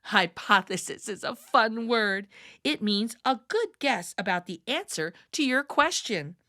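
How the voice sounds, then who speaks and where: A woman narrates a children's picture book in a high, bright voice. Her reading is expressive and deliberate, leaning on key words for drama. The pace is unhurried. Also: no echo, studio quality. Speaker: children's read-aloud storyteller.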